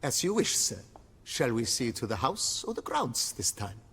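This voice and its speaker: A man playing a deferential, fussy butler in a video game, with a breathy, husky voice and formal, florid, obsequious speech. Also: mid-range voice, big pitch swings, normal speed, a bit bright, in a large hall, full character voice. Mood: eager, deferential. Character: deferential, fussy, eloquent male butler of an estate.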